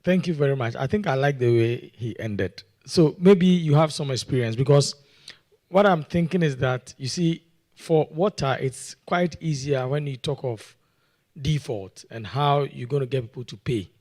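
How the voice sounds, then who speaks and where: A man from Ghana asking a question from the floor in a conference meeting room. He works through his point earnestly, circling back to words as he goes. His voice sits at an ordinary middle pitch. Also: normal speed, darkish tone. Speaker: audience questioner.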